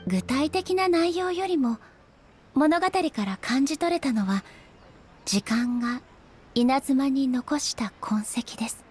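A serene, noble female ruler from an anime game. The voice is fairly high, with formal, measured and polite delivery. Music runs behind the words. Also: lively intonation, darkish tone. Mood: solemn, reflective. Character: composed, dignified female ruler of an island nation. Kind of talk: anime video game voice acting.

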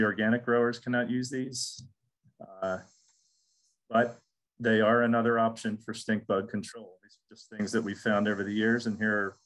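A middle-aged man talks a webinar audience through his slides with a slightly low voice. He speaks loosely, filling pauses with "um" and repeating words as he restarts phrases. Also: low-quality recording. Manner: casual, repeats words and restarts with many ums. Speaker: extension entomologist. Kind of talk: recorded webinar lecture with slides.

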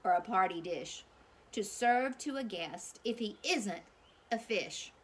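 A woman's voice: mid-range voice, expressive, slow, slightly rough. A woman voicing a confident, agreeable storybook cook with a singsong rhyming delivery. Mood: assured.

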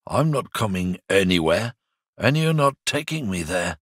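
An actor playing a surly, hostile lorry driver in a video game, sneering and swearing constantly, with a husky voice. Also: male voice, low-pitched, big pitch swings, unhurried, fairly bright tone, no room sound, heavily acted. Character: surly bigoted male lorry driver, middle-aged, hostile. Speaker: game character. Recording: dry close studio recording.

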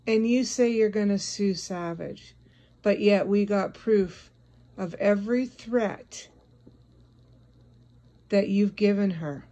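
Woman doing a confrontational youtube style callout, talks slow, scolding, mid pitch voice. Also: warm tone. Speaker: online video creator. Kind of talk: direct-address callout monologue.

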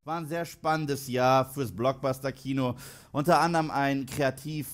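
A man's voice: mid-range voice, fairly even intonation, moderate pace. A man in his thirties presenting scripted film commentary to camera, emphatic and tongue-in-cheek. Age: 30s. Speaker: online film critic and presenter. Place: indoor studio with dark backdrop.

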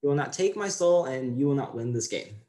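A man's voice: medium pitch, lively intonation, fairly quick, mellow, close to an everyday voice. A man playing a bossy six-year-old boy lecturing playmates in an acting monologue, with an insistent, know-it-all manner. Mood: bossy, cocky.

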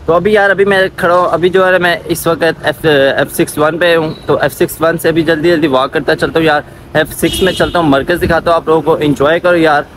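A man's voice: a little high, steady tone, fairly quick. A man in his twenties with a Pakistani accent, chatting informally to viewers in a casual walking-tour vlog. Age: 20s. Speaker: vlogger. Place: outdoors on busy city streets. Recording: clear outdoor street and traffic noise.

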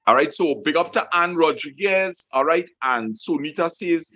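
A middle-aged man with a Guyanese accent, co-hosting a live stream from his living room, reading out viewer comments and giving shout-outs. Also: fairly high pitch, moderate pace. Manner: lively, gives shout-outs, breaks into song. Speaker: co-host. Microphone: video call.

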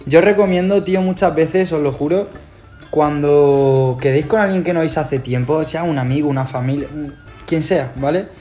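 A man's voice: fairly high pitch, moderate pace, mellow. A young man with a Spanish accent from Spain, talking to his phone camera in a laid-back, slangy way. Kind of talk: casual social media video. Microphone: phone camera close up. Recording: phone clip with background music.

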